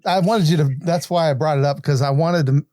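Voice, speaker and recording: A man hosting a podcast, putting questions to a guest in casual, halting, enthusiastic chat. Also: fairly high pitch, warm tone, dry recording, studio quality. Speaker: podcast host interviewing a guest.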